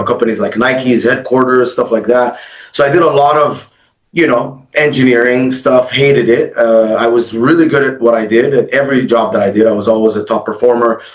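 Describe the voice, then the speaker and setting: Man, 30s, interview guest; chatty storyteller, filler-heavy, average pitch, echoey room. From Egypt and Dubai. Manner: fast, fluent storytelling with many fillers. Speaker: guest, agency founder.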